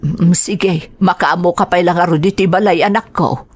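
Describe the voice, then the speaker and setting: A woman acting as a hardworking, loving mother in an Ilocano radio drama, gentle and maternal, with an Ilocano accent. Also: lowish voice, steady tone, moderate pace, natural voice. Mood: gentle, busy.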